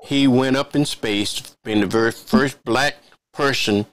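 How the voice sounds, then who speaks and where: An older man hosting a television interview over a video call, talking in a rambling, halting way with many restarts. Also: medium pitch, background noise. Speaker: TV show host. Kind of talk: casual interview chat. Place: home office, indoors. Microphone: headset on video call.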